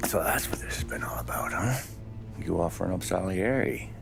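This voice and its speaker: A male actor playing a dogged, sardonic lawman in a video game, gruff and terse with a menacing edge. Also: deep voice, fairly quick, whispered, over music. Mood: bitter, probing. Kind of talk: video game cutscene acting.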